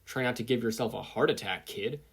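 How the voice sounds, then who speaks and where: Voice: mid-range voice, brisk, slightly rough. A narrator with an American accent voicing a jocular, proudly dim male spirit mentor in a story reading. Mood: amused. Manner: casual, jocular.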